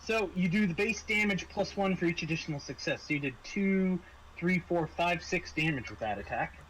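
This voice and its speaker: Man, high-pitched, game master, casual halting explanations, clear background noise. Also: animated, fairly bright tone. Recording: clearly noisy room recording.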